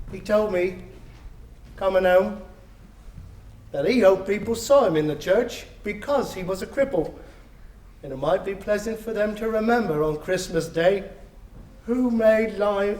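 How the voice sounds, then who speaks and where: A man voicing a gentle, devoted, poor Victorian father, tender and earnest, in a dramatic storybook reading. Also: high-pitched. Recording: roomy live recital sound.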